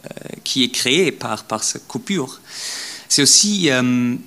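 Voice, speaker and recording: Gravelly, halting non-native lecturer man. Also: medium pitch, animated, sharp tone. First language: not French. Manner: halting, many repeated words and fillers. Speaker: guest lecturer. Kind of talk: academic lecture.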